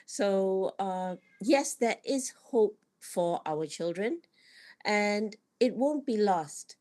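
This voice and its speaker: A woman from Malaysia joining an online discussion by video call, speaking in an unhurried way with many filler sounds. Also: mid-range voice, expressive, a little mellow. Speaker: listener asking in.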